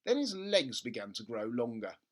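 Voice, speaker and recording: A storyteller reading a children's book aloud in an expressive narrating style. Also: medium pitch, moderate pace. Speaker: storybook reader.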